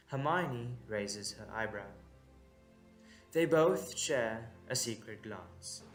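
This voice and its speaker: A man narrating a scripted audio drama in a measured, dramatic way with deliberate pauses. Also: medium pitch.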